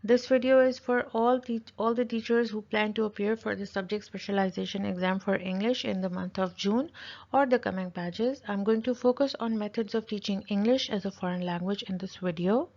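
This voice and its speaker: A woman's voice: mid-range voice, fairly even intonation, fairly quick, a little mellow. A woman who speaks Urdu natively gives an explanatory tutorial as a teacher, repeating her points with simple examples. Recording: dull, lo-fi audio.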